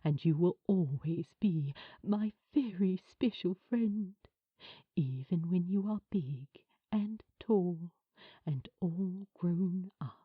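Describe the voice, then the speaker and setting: Woman voicing a husky, gentle male children's TV host. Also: fairly low pitch, lively intonation, no room sound, narrow, tinny audio, voice pushed down, heavily acted. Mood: tender, emotional. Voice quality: husky, breathy. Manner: slow, tender, earnest. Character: gentle, kind male children's TV host saying a tearful farewell. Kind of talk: audiobook character acting.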